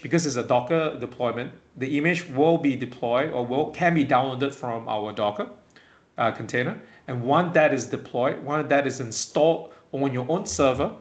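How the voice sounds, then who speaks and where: A man presenting a product webinar in an informal, halting way, often checking in with small tag words. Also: medium pitch, muffled recording. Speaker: webinar presenter for a tech company.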